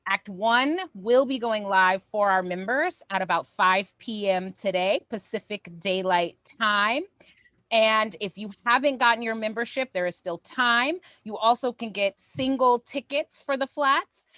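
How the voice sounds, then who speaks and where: High-voiced woman co-hosting an online show. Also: a little slow, bright, forceful tone, dry recording. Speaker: co-host of a theatre company's online show. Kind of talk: casual announcements to online viewers.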